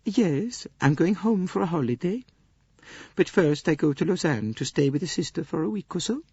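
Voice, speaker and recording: A man voicing a kindly, pious middle-aged Swedish woman with a Swedish accent, speaking softly and earnestly in formal phrases. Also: on the high side, animated, normal speed, slightly rough, no echo, pitched above the performer's own voice. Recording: dull dry studio recording.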